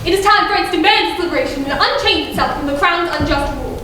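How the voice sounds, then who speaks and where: A woman's voice: extremely high pitch, lively intonation, fast. A young woman, a student actor, playing an impassioned French revolutionary leader in a stage play. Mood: rousing, resolute. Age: young adult. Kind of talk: high school stage play acting. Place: school theatre stage, distant microphone. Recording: echoey stage from far off, faint noise.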